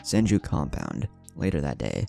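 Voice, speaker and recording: A man narrates scripted fan fiction. His voice is deep and mellow, with lively rises and falls, over a bed of quiet music. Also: moderate pace, creaky. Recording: music under the voice.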